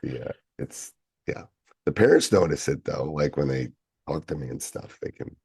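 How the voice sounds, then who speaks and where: Co-host, male, deep gravelly voice worn hoarse by a cold, easygoing podcast chatter. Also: lively intonation, normal speed, darkish tone, dry recording. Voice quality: deep, raspy voice. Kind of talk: casual chat.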